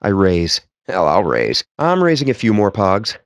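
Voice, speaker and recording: A verbose, nerdy male gamer for a video game. His voice sits a little low and swings widely in pitch for dramatic emphasis. The delivery is wry and pompous, captured tight and dry without room sound. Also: huge pitch swings, a little slow, no room sound, exaggerated voice. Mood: theatrical bravado. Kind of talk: video game character voice lines.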